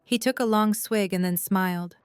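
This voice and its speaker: A woman's voice: mid-range voice, normal speed, clean tone, no echo. A woman reading scripted story narration as the narrator of an audio drama.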